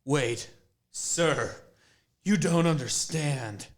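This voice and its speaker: A man's voice: on the high side, extremely slow. A man playing an earnest young student who raises questions.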